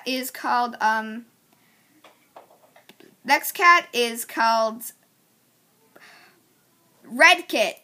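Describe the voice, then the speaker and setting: A woman's voice: sits high, sharp tone. A woman chatting casually as she introduces her amateur voice audition lines. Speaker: amateur voice actor.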